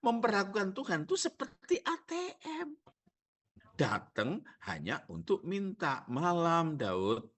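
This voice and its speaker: A man's voice: a little high, wildly swooping pitch, slow pace, a bit bright. A male pastor with a Javanese accent preaching a sermon, asking the congregation rhetorical questions and joking.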